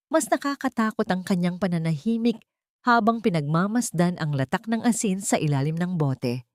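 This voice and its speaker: A woman with a low voice narrates a melodrama audiobook in a measured, dramatic way. The recording is close and dry, with no room echo. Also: a little mellow.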